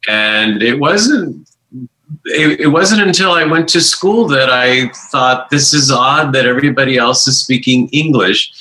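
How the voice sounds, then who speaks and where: A man from the United States tells his story on a call, his voice bright and forceful. He restarts his sentences often, and the sound bounces around an echoey room. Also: mid-range voice, measured pace. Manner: storytelling, hesitant restarts, warm laughs. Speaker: guest, life coach and author. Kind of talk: casual interview. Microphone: remote call microphone.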